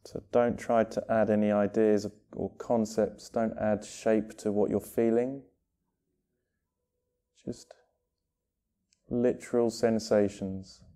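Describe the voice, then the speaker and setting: Male meditation guide, very soft dark tone, smooth and clear, short phrases with long pauses. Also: fairly low pitch, little pitch movement. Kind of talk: guided meditation, gentle instruction.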